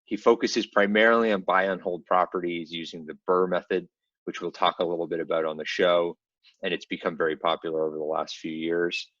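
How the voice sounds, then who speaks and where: Low-voiced man hosting, roomy dull audio. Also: fairly even intonation, brisk, a bit bright. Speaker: podcast host and interviewer. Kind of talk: upbeat interview podcast hosting, conversational.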